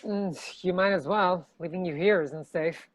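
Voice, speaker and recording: A woman's voice: on the low side, measured pace, no echo, rough recording. A woman playing a bossy, decisive demon hunter in a comedy table read, with a commanding, dry manner.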